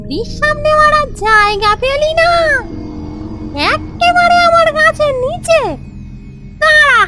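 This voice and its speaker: A woman voicing a spiteful, mischievous female tree ghost in a cartoon, taunting and scheming. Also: very high-pitched.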